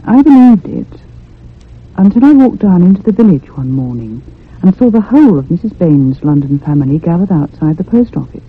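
A troubled, earnest woman tells her haunting in a very husky voice. Her delivery is literary and intense, in the style of a radio horror play, on a muffled, phone-like recording. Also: lowish voice, very animated, brisk. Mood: tense, brooding. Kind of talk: first-person dramatic radio horror narration.

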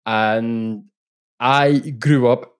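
A man's voice: mid-range voice, fairly even intonation, extremely slow, smooth. A man in his thirties from Northern Ireland, with a blended Northern Irish, Scottish and English accent, talking earnestly and openly as a podcast guest, with some restarts. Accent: mixed Northern Irish, Scottish and English. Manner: earnest, open, frequent restarts. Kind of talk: casual interview conversation. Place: home room with bookshelves. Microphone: studio microphone on boom arm, headphones.

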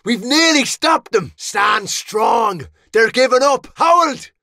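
Irish mercenary, roguish and wry, very high forceful voice, colloquial, medieval video game barks. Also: male voice, lively intonation, slow pace, vocal fry, dry recording, studio quality, heavily acted. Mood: rousing, urgent. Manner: colourful, colloquial, theatrical delivery.

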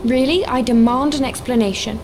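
A woman's voice: a little high, a little fast, over music, natural voice. A woman playing an inquisitive, insistent young adventurer in a video game, earnest and questioning. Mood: curious, impatient. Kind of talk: video game character acting.

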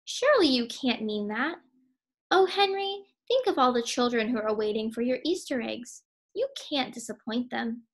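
A woman's voice: on the high side, expressive, moderate pace. A woman voicing a fretful, pleading female hare who coaxes her husband in a storybook. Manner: pleading, exclaiming.

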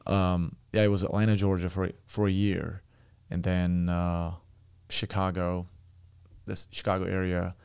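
Gravelly Turkish-accented man in his thirties. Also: low-pitched, measured pace, no room sound. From Turkey. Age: 30s. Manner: relaxed, chatty storytelling with frequent fillers. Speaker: podcast guest. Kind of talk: casual interview chat. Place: small podcast studio. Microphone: studio boom mic with headphones.

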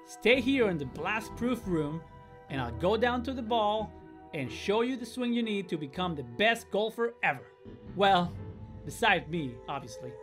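A man's voice: high-pitched, a bit bright, full character voice. An actor playing a cocky, dramatic male star robot golfer in an anime-style game, boastful and theatrical. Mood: confident.

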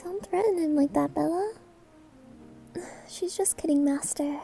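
A woman's voice: high voice, unhurried, vocal fry, dark tone, faint music behind. A woman playing a sweet, bubbly, clumsy young maid in an ASMR roleplay, with a cutesy, teasing, eager manner. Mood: sweet, pleading.

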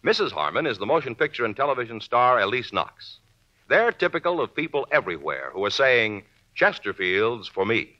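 A man's voice: medium pitch, big pitch swings, fairly quick. A man with an American accent announcing a 1950s radio advertisement in a polished, emphatic sales delivery. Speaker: commercial announcer. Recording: dull vintage radio recording.

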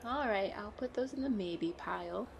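A woman playing a playful, excitable girlfriend in a chatty roleplay audio, with a bubbly, expressive delivery. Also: mid-range voice, moderate pace, creaky, a little hiss or hum, lightly acted. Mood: excited, teasing. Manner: bubbly, expressive, frequent giggles and exclamations. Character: playful, excitable, pleading girlfriend out costume shopping.

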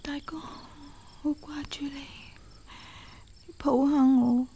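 A woman playing a proud swordswoman and wife in a radio drama, expressive and dramatic. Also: high voice, slow, a little hiss or hum. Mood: dreamy, longing. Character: proud swordswoman, wife, tender then sternly indignant. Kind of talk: Cantonese radio drama acting.

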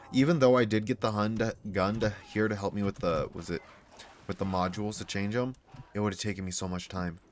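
A man with a slightly hoarse, failing voice, casually rambling as he plays and talks to himself and his creatures. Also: on the low side. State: losing his voice, sore throat. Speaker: gaming YouTuber. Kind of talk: casual gameplay commentary.

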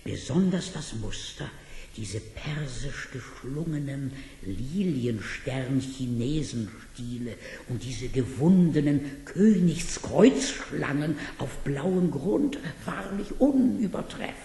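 Casting: servile storybook minister, creaky voice, effusive, roomy old recording. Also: male voice, mid-range voice, lively intonation, slow pace, warm tone. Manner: effusive, deferential. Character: flattering, obsequious royal minister. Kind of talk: German fairy-tale audio drama acting. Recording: roomy old cassette, faint noise.

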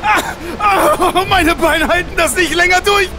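A man playing an injured lumberjack trapped under a log, with a gravelly voice, in a hobby radio drama. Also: extremely high pitch, steady tone, unhurried, over a noisy crowd, heavy background noise. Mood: pained. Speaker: lumberjack Buck.

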